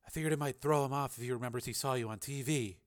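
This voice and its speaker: A man voicing a quick-witted, nervy woman TV reporter in an audiobook, soft-spoken and smooth-talking. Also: medium pitch, natural voice. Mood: nervous.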